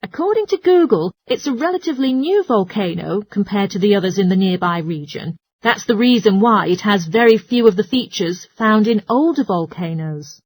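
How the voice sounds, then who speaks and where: A woman's voice: medium pitch, animated, moderate pace, few pauses, a little mellow, no echo, natural voice. A woman playing a helpful, studious geography student in a scripted listening-test dialogue. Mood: studious, explaining.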